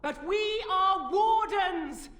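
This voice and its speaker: A resolute warden commander declaims slowly and solemnly in a high, forceful voice. It is fantasy game acting, with an echo around it. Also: female voice, exaggerated voice. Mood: rousing, grave. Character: resolute female warden commander rallying weary soldiers. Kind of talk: fantasy video game acting, grand speech.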